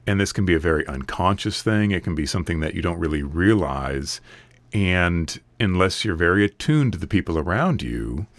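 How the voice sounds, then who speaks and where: Very deep-voiced raspy man, dry sound. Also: normal speed, no room sound. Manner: earnest, steady, advice-giving. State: raspy from recent illness. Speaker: podcast host. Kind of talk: casual solo podcast monologue.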